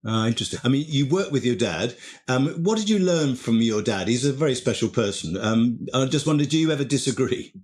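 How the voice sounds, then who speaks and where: A man's voice: mid-range voice, animated, fairly quick, hardly any pauses, darkish tone. A man hosting a podcast interview, asking chatty questions and answering with short affirmations. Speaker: podcast host. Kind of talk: casual interview.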